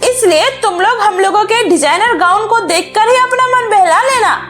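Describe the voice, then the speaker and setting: A woman's voice: extremely high pitch, moderate pace, music in the background. A woman voicing a snobbish, boastful rich schoolgirl in a cartoon story, gloating and taunting. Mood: mocking.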